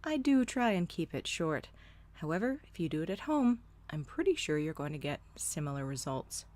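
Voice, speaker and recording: A woman's voice: sits low, very animated, moderate pace, darkish tone. A woman delivering scripted opinion commentary in a blunt, sardonic manner, casually profane. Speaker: host of a solo commentary show.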